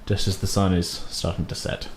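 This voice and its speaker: A man's voice: low voice, level tone, normal speed, gravelly. A British man running a tabletop game as game master, talking in an informal, jokey, rambling way. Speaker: game master and podcast host. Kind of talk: casual tabletop game narration. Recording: roomy echo with background noise.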